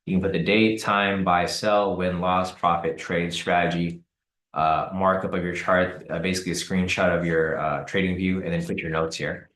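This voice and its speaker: A man hosting a live trading stream, hoarse and raspy from the cold, talking casually with many fillers and repeated words. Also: deep voice, level tone, normal speed, fairly bright tone, reverberant. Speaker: trading livestream host. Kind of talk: casual live-stream commentary answering chat.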